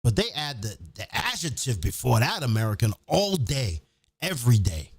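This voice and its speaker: A man's voice: medium pitch, sing-song, normal speed, gravelly, slightly rough, dry recording. Profane, blunt man ranting, dry close sound. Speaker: host of his own show. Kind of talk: opinionated monologue, casual rant.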